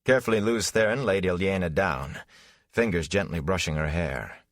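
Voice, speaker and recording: A man narrating a fantasy audiobook in a solemn, measured, dramatic style. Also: deep voice, no room sound, studio quality.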